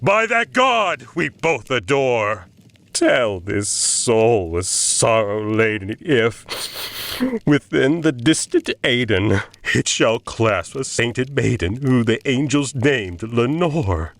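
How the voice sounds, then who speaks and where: A man's voice: medium pitch, animated, a bit bright, dry recording, pitched below the performer's own voice. A man acting out a grieving, tormented man in a theatrical, anguished gothic poetry recital. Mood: desperate pleading. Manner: theatrical, anguished, drawn-out delivery. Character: grieving, tormented man pleading and raging at a raven.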